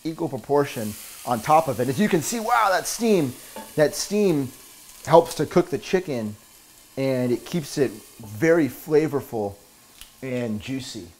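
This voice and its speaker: A man's voice: mid-range voice, expressive, a little slow, a little mellow, a little hiss or hum. Earnest man explaining a cooking demo. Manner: earnest, upbeat, explains step by step. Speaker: fitness coach. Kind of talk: cooking demo talk to camera. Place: home kitchen, indoors.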